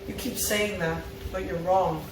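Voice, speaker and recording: A woman's voice: fairly low pitch, steady tone, measured pace, background noise, natural voice. A woman reading the part of an earnest, guilt-ridden younger brother in a drama table read. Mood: resolute, earnest. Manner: earnest, pleading. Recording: roomy, distant mic, music underneath.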